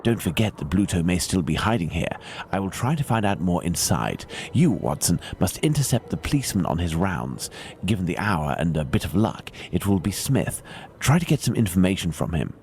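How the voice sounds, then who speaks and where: An actor playing a keen, self-assured gentleman detective with an English accent in a video game, precise and formal in his manner. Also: deep voice, sing-song, moderate pace. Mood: brisk, instructing.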